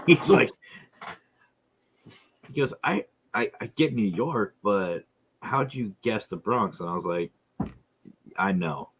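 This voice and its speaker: A man's voice: lowish voice, lively intonation, slow, pauses often, slightly rough, poor audio. A man hosting a podcast in casual chat, telling stories with plenty of laughs and swearing. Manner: profane, laughing storyteller. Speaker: podcast host.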